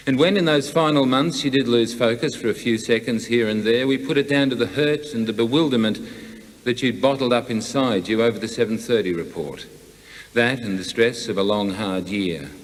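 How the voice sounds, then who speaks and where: An Australian man delivering a scripted eulogy for a friend at a memorial. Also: lowish voice. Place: large hall, memorial gathering. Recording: echoey hall with long reverb.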